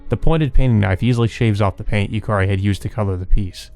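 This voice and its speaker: A man's voice: on the low side, fast, a little mellow, faint music behind. A man reading out scripted visual novel narration as a let's play narrator.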